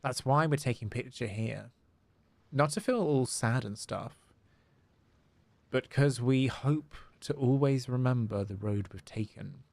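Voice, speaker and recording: A man livestreaming a game, excitable, casually commenting and reading dialogue aloud, with exclamations. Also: mid-range voice, vocal fry. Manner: excitable, reacts with gasps and drawn-out exclamations. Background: game music.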